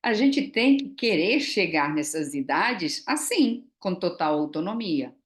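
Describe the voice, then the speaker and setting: A woman's voice: lowish voice, very animated, measured pace. A woman, chatting casually in a vlog and explaining things with frequent fillers and tag questions. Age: 60s. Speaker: vlogger. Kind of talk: casual chat.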